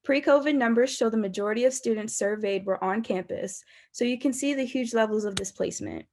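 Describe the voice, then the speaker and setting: A woman's voice: fairly high pitch, a little fast, a little mellow, slight background noise. A woman in her twenties with an American accent, a university student reading a scripted presentation. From Baltimore, United States. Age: 20s.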